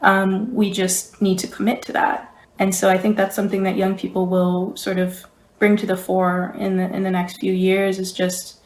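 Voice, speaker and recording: A woman's voice: mid-range voice, completely flat delivery, moderate pace. A young woman speaking thoughtfully as a guest in a podcast discussion. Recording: roomy, echoey voice pickup.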